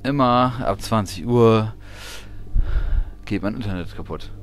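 A man's voice: on the low side, measured pace. A man streaming a game live, chatting and grumbling as he thinks aloud. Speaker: gaming streamer. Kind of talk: casual live gameplay commentary. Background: game music.

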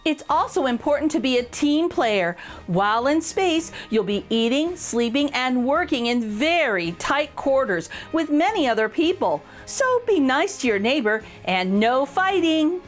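A woman with a high voice reads a children's picture book aloud. Her intonation swings in a lively way, encouraging and emphatic as she speaks straight to young listeners. Faint music plays behind her. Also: a little slow, few pauses. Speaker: children's storytime reader.

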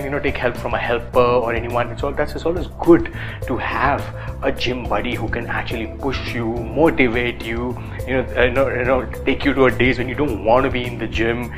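A man in his thirties with an Indian accent, talking casually to camera about fitness with lots of fillers and lively gestures. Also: medium pitch. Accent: Indian English. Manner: animated, gestures with both hands, many fillers. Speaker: fitness influencer.

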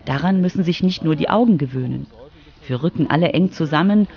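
A woman's voice: low-pitched, animated, fairly quick, highs cut off. A woman reporter reading scripted narration for a children's radio feature.

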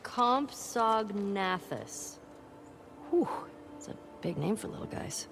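A woman's voice: mid-range voice, lively intonation, slow pace, vocal fry, a bit bright, faint music behind, exaggerated voice. An actress playing a curious, teasing teenage girl in a naturalistic video game style. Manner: casual, teasing. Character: curious, playful, sassy teenage girl exploring a ruined museum.

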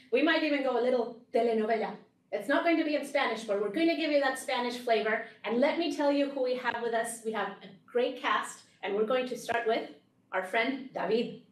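A middle-aged woman hosts a panel chat in a fairly high voice. She introduces and prompts in an upbeat presenting style, heard from a little distance in an echoey meeting room. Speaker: host, developer community manager. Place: small office meeting room, indoors, on a video call.

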